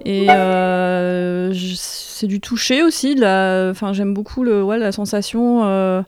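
A woman in her forties chatting casually about knitting, hesitant, with many pauses and fillers. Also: medium pitch, darkish tone, clear, resonant tone. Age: 40s. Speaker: knitter sharing her experience. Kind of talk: casual chat.

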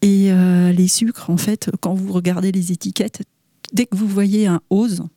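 A woman food engineer, a guest on a radio show, explaining sugar in a hesitant way, with many pauses and fillers. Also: mid-range voice, flat delivery, no room sound, studio quality. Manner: hesitant, many "euh", soft half-whispered asides.

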